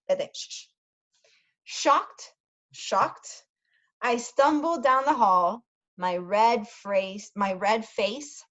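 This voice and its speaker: A woman's voice: medium pitch, highs cut off. A woman tutor reading a story aloud for language learners, carefully repeating key words and adding short translations. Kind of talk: slow read-aloud of a story for English learners, with brief Spanish glosses.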